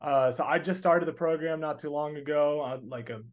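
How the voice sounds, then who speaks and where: A man's voice: fairly high pitch, moderate pace. A man in his twenties from Texas with a Southern accent, answering interview questions in an earnest, rambling way with many fillers. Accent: Southern American. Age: 20s. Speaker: guest, young entrepreneur.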